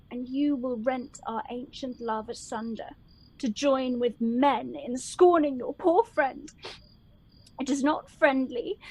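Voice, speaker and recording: High-pitched wounded young Shakespearean heroine, played by a woman. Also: a little mellow, poor audio. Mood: hurt, reproachful. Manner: declamatory, reproachful Shakespearean verse delivery. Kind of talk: radio play Shakespeare acting.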